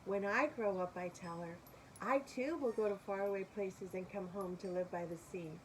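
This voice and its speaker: A woman reads a picture book aloud for young listeners. Her delivery is expressive, with room for asides and questions to whoever is listening. Faint noise sits behind her medium-pitched voice. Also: normal speed, low-quality recording. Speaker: storybook reader.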